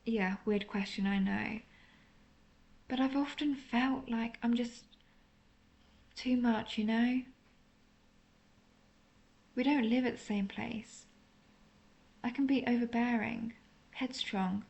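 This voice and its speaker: A woman playing a lovesick, apologetic female vampire in an intimate audio roleplay, speaking in a hesitant, confessional, softly melancholic way. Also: a little high, fairly even intonation, slow pace, gravelly, long pauses. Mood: vulnerable, melancholic.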